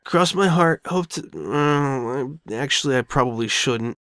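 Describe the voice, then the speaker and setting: A man's voice: mid-range voice, expressive, a little slow, fairly bright tone, no room sound, clean studio sound. A man voicing a caring, perceptive young boy dragon with a casual, direct, youthful manner in a dramatic fan fiction reading. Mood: caring, frank.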